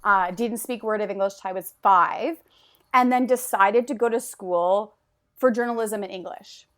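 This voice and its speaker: A woman's voice: mid-range voice, moderate pace, fairly bright tone. A woman in her thirties whose first language is French, talking casually as a podcast guest in a rambling, bubbly way full of "like". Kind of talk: casual interview chat.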